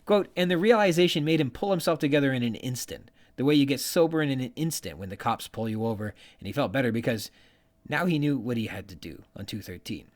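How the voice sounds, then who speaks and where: A man's voice: medium pitch, animated, fast talker. A man hosting a podcast, delivering scripted literary commentary with an emphatic delivery. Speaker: podcast host.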